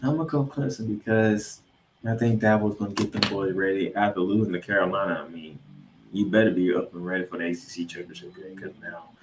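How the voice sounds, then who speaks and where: A man's voice: low-pitched, fairly even intonation, a little fast, a little hiss or hum. An American man hosting a football podcast, giving a recap and preview with an emphatic, colloquial delivery. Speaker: sports podcast host. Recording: roomy, echoing sound.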